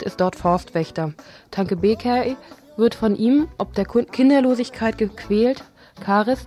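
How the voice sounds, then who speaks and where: A woman's voice: mid-range voice, dull audio. A woman presenting informal radio event listings, reading a text aloud and sometimes stumbling over the words. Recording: radio show over faint music bed. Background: soft background music.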